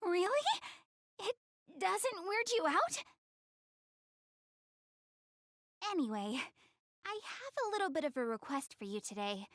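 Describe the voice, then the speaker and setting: A woman's voice: very high voice, big pitch swings, normal speed, fairly bright tone, no echo, studio quality, exaggerated voice. A young woman playing a proud, easily flustered, cute anime goddess girl in a video game, speaking bashfully and defensively to the player. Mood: hesitant, curious. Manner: bashful, defensive, stammering when embarrassed.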